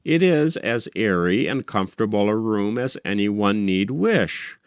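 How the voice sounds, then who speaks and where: A man reading a first-person literary story aloud as an audiobook narrator, with measured, deliberate phrasing. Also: on the low side, expressive, clean tone, no echo. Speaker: audiobook reader.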